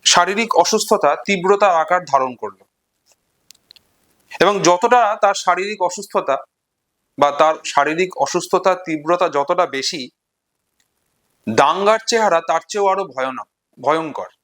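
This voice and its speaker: A man giving an informal literary lecture and retelling stories, in deliberate, measured phrases broken into short segments. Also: high-pitched, lively intonation, fairly bright tone. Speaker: literature explainer and presenter.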